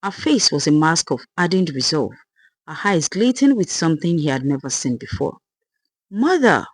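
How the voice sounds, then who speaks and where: A storyteller giving a dramatic, expressive reading of a scripted folktale. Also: medium pitch, brisk, breathy edge, dry recording.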